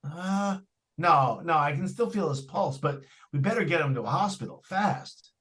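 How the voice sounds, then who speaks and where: A man's voice: a little high, expressive, normal speed, fairly bright tone. A man playing an eager, talkative property salesman in old-time radio suspense style, with a folksy, persuasive manner. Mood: alarmed, urgent. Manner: folksy, persuasive, colloquial phrasing. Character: eager, talkative salesman trying to unload a haunted house.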